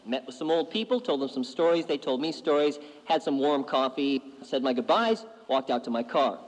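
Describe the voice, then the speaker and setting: A man's voice: mid-range voice, lively intonation, moderate pace, big-room sound, low-quality recording. A man telling a spooky story in a wry, conversational way.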